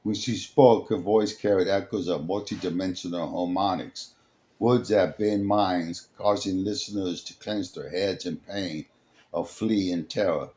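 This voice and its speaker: A man reading a dramatic scripted story as its narrator, in a halting way, sometimes stumbling over the words. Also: low-pitched, fairly even intonation, mellow. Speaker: storyteller, host.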